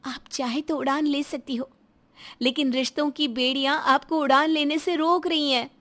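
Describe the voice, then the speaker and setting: A woman as a high-voiced, earnest young daughter-in-law. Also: steady tone, normal speed, a bit bright. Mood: sympathetic. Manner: earnest, pleading. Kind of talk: melodramatic Hindi audio story acting.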